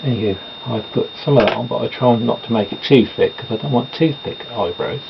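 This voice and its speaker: A man's voice: medium pitch, fairly quick, vocal fry. A man chatting casually and meanderingly at home while doing his makeup, with self-deprecating asides. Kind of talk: rambling casual chat. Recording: dull lo-fi home recording with noise.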